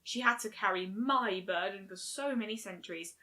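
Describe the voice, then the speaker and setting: A woman's voice: medium pitch, moderate pace, sharp tone, natural voice. Woman doing a royal mare princess for a fanfic story, grave n formal, tired, full of regret. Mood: solemn, resolute. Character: regal adult mare princess, weary, solemn, guilt-ridden. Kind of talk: fan fiction story reading.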